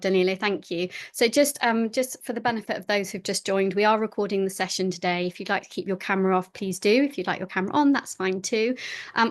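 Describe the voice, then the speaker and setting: A woman moderates an online session, laying out the plan and reading out questions in a friendly, organised way. Her voice sits at an ordinary middle pitch, with the odd filler um. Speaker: host.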